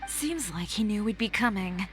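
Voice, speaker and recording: A woman as a calm fashion designer. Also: mid-range voice, lightly acted. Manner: calm, measured, reflective. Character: composed female fashion designer, adult. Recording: game audio with faint music.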